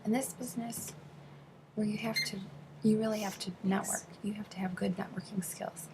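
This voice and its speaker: A woman working as an interpreter, voicing an interview answer in the first person, phrase by phrase with halting delivery. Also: mid-range voice, fairly even intonation, background noise. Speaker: sign language interpreter voicing a deaf interviewee.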